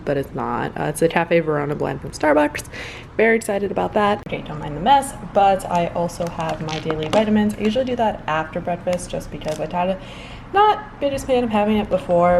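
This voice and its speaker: A woman's voice: lowish voice, vocal fry, background noise. A young woman vlogging casually at home, chatty and rambling, a little congested and nasal. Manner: chatty, rambling, self-deprecating. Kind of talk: casual vlog chat. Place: at home in an apartment.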